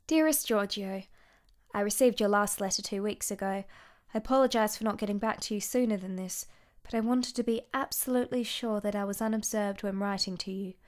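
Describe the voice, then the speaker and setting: A woman's voice: medium pitch, a little fast, close to an everyday voice. A woman playing a tender, yearning young mother in a radio play, voicing a formally worded love letter. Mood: wistful, restrained. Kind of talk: dramatic monologue in radio play.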